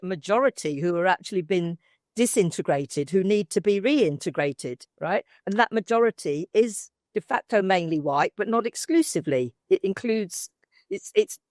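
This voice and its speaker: A woman's voice: medium pitch, no room sound. Older British woman debating, close dry sound. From Britain. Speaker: debate panellist. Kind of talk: informal debate argument.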